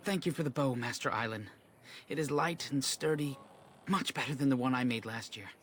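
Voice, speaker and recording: A man's voice: mid-range voice, brisk, fairly bright tone, a little hiss or hum, natural voice. An actor playing a respectful young male elf hunter in a fantasy game, speaking formally and earnestly. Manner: formal, grateful, deferential.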